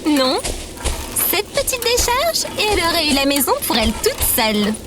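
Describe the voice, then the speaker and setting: Woman playing a high, lively, eager robot companion. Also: normal speed, over music. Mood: excited, playful. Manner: enthusiastic, precise, explanatory. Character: cheerful female robot companion, eager, naively fierce. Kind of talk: audio fiction acting.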